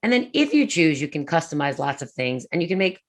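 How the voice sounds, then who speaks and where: A woman talking about her industry in a conversational panel, animated and quick, full of "like" and "you know". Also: low-pitched. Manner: fast, animated, filler-heavy. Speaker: prefab industry executive. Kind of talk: conference talk conversation.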